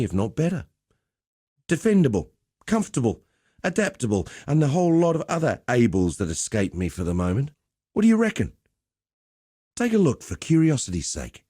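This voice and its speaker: A man's voice: mid-range voice, mellow, slightly rough, dry recording, studio quality. A man playing a cocky, easygoing gladiator master in a video game, teasing with wry, conversational swagger. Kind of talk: video game character dialogue.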